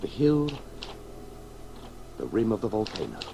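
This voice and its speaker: A man acting a brooding, halting writer in a radio play, narrating his own story with intensity. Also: on the low side, huge pitch swings, creaky, noisy background, exaggerated voice. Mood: musing. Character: brooding, wonder-struck male writer telling his story.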